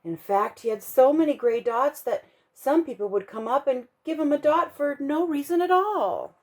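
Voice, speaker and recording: A woman reading a children's bedtime story aloud for a church kids' ministry, expressive and slowing down to stress key moments. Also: high-pitched.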